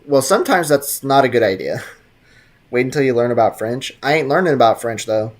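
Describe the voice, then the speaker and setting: A man's voice: mid-range voice, expressive, brisk. A young man streaming a video game, chatting casually with viewers in a laid-back, slangy way and laughing often. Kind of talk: casual chat reading live chat.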